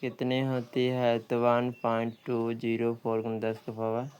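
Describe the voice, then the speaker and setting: A man's voice: mid-range voice, completely flat delivery, measured pace, a little mellow, a little hiss or hum, rough recording. A man working through practice questions as a coaching teacher, reading each one out and repeating questions and answers.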